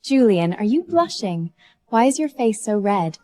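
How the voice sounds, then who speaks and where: A woman voicing a bubbly, pushy young woman who teases and dotes on a sulky young man in a dubbed animated drama. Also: medium pitch, measured pace. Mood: teasing. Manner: sing-song, teasing and exuberant.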